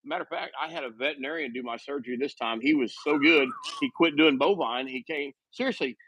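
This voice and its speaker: A man's voice: a little high, fairly bright tone, breathy edge, muffled recording. A man in his fifties, a guest making casual conversation, who often restarts his sentences and jokes at his own expense. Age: 50s. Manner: halting, frequent restarts; self-mocking humor.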